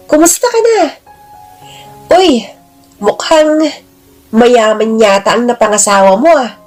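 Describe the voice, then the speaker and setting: Audio drama: teasing, gushing male buddy voiced by a woman, high-pitched, flirty banter over music. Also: a little mellow. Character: Victor, teasing, chummy, flirtatious man, a security guard.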